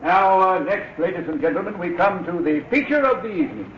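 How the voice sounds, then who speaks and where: An actor playing a jolly charity auctioneer with showy sales patter, in 1940s radio acting. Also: male voice, sits high, lively intonation, brisk, background noise. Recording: echoey dull old radio recording with crowd.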